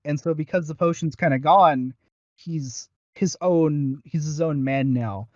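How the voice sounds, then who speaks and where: A man co-hosting a podcast with casual, halting, rambling commentary and some swearing. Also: a little high, no echo. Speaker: podcast co-host. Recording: dull lo-fi voice recording.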